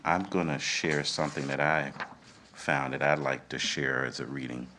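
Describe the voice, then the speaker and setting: A middle-aged man emceeing a live event, speaking in measured, deliberate phrases. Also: extremely low pitch, fairly even intonation, sharp tone. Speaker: event host. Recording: live event with faint background noise.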